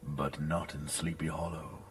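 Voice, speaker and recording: A man's voice: rumbling low, flat delivery, measured pace, distant mic, a little hiss or hum. A man playing a brooding, jealous village bully in a theatrical audio drama, with a taunting, ominous manner.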